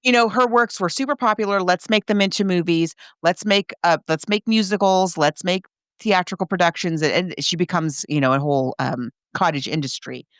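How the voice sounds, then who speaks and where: Smooth, lower-pitched woman chatting on a podcast. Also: fairly quick, dry recording, studio quality. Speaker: podcast co-host. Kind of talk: casual podcast discussion.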